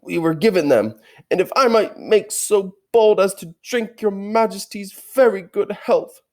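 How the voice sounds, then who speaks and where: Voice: medium pitch, lively intonation, unhurried, pitched above the performer's own voice. A man voicing an old, timid male fox who stammers and pleads politely. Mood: pleading.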